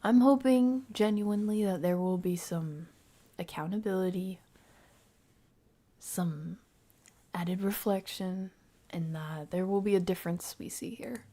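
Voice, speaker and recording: Female stream host, medium pitch, reacting live and informally, working thoughts out aloud as she goes. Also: unhurried, long pauses, mellow. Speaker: livestream host reacting to a video. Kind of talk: casual live reaction commentary.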